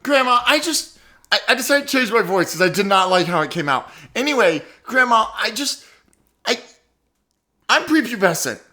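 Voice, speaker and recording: A man's voice: sits high, very animated, moderate pace, bright, forceful tone, full character voice. A man voicing a prepubescent grandson, eager and pleading in a casual boyish way, in an improvised comic radio play.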